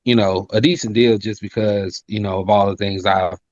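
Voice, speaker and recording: A man's voice: fairly even intonation, normal speed, no echo, muffled recording. A man, talking casually as an interview guest, polite and full of "you know" fillers. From South Carolina. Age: 30s. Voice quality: high-pitched voice by his own account. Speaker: rapper and singer, interview guest.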